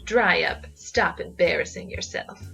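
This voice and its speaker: A woman's voice: on the low side, measured pace, creaky, sharp tone, exaggerated voice, rough recording. A woman playing an eerie, scornful flapper villain who taunts imperiously and cryptically in a 1920s noir comedy audio drama, with music behind. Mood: taunting. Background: background music.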